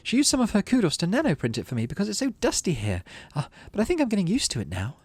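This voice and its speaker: A man's voice: sits high, wildly swooping pitch, very fast, breathy edge, no room sound, voice pushed up, exaggerated voice. A man voicing a timid, sweet young girl in a video game who is hesitant, meek and eager to please. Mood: shy, sweet.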